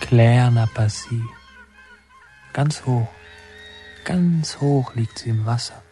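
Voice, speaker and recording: An actor playing a brooding young man who narrates his memories in a reflective, deliberate, literary radio drama style. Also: mid-range voice, close to an everyday voice. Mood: dreamlike, intense. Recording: prominent music under the voice. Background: music.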